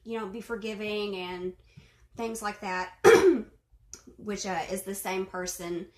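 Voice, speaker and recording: Young woman, casual vlog chat, mid pitch, roomy echo, rambling with fillers. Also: takes it slowly. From Arkansas. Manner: chatty, many fillers, clears throat. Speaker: video host responding to a reading. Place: bedroom at home.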